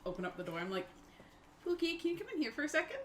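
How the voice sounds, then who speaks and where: A woman's voice: high-pitched, big pitch swings, rapid-fire, fairly bright tone, roomy sound. A woman talking casually as a player in a tabletop roleplaying game, describing what her character does. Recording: clear background noise.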